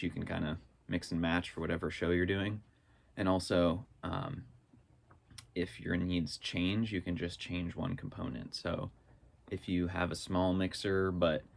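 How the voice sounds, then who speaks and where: A man's voice: low voice, creaky. A man talking casually to viewers, explaining things informally as a vlogger and thinking aloud in loose phrases. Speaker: vlogger on live sound gear.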